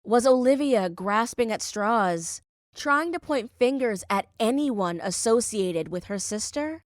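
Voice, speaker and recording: A woman's voice: a little high, lively intonation, measured pace, no room sound, studio quality. A woman reading scripted first-person fiction as an audiobook narrator.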